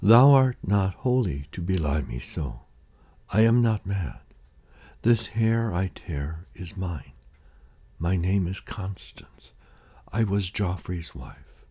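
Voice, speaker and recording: A man reciting Shakespeare monologues in a slow, theatrical and deliberate way, with weighty pauses. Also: low-pitched, lively intonation, mellow, husky.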